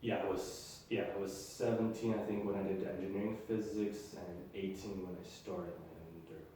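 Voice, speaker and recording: A young man from the Netherlands chatting as a podcast guest, quick with fillers. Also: low-pitched, fairly even intonation, warm tone. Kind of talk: casual chat. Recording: echoey room, far from mic, faint noise.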